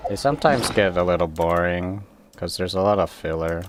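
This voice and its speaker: A man's voice: low-pitched, moderate pace, slight background noise. A man livestreaming a game, rambling casually and muttering to himself as he plays. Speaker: livestreamer, gamer. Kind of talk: casual livestream gaming chat. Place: indoors at a computer.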